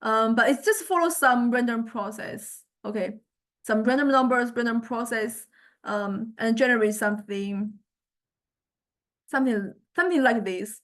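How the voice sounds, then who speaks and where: A woman lecturer with a somewhat high voice talks through an informal software demonstration. She speaks haltingly, thinking aloud and feeling around for the right words as she explains.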